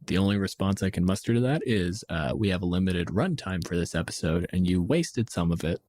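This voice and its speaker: A man's voice: sits low, animated, brisk, a little mellow, dry recording, studio quality. A man from Canada co-hosting a casual podcast chat, blunt and sardonic in his way of talking. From Windsor, Ontario, Canada. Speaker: podcast co-host. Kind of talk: casual chat about tabletop gaming.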